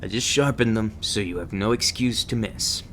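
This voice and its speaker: A man playing a jaded veteran monster-hunting agent in an ASMR roleplay, curt, deadpan and contemptuous. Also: lowish voice, normal speed, a little hiss or hum, lightly acted. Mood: sardonic, curt. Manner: deadpan, curt, sarcastic, insulting.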